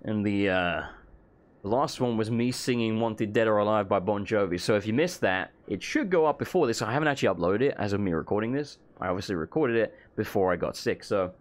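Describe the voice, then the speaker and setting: A man with a hoarse voice, losing it from being sick, rambling casually as he shows off his game mod. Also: fairly low pitch, animated, fairly quick. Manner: rambling, self-correcting, casual swearing. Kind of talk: casual gameplay walkthrough. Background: faint game music.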